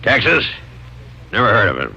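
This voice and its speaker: A man's voice: lowish voice, huge pitch swings, normal speed, gravelly, pushed and bright, slightly rough, lo-fi sound, exaggerated voice. An actor playing a big, terse, stubborn cattleman, in a 1950s radio drama. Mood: dry humor. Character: big, blunt, wealthy Oklahoma cattleman in his fifties. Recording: noisy old radio broadcast recording.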